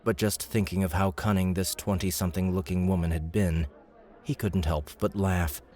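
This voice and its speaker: Deep-voiced male audiobook narrator. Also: steady tone, moderate pace, darkish tone. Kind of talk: scripted fantasy narration.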